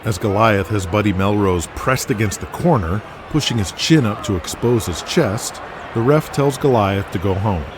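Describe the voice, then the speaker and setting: A man's voice: fairly low pitch, expressive, moderate pace, background noise. A man reading scripted narration for an audio drama in a dramatic storytelling style.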